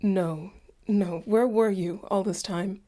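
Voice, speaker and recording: A woman's voice: mid-range voice, steady tone, slow pace, warm tone, lightly acted. A woman playing an anxious, solicitous mother goddess in a radio play. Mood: upset, insistent. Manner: solicitous, probing, insistent. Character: fussing, anxious mother, a grieving harvest goddess.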